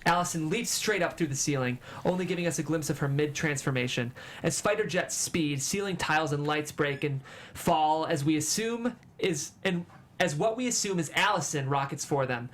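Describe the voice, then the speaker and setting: A man's voice: on the high side, moderate pace, fairly bright tone. A man reading stage directions as the narrator of a screenplay table read. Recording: faint room noise.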